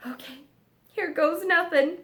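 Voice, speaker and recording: Woman voicing an exasperated, high-pitched young giraffe. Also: unhurried, fairly bright tone, a little hiss or hum. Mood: nervous. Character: exasperated young male giraffe, anxious but indignant.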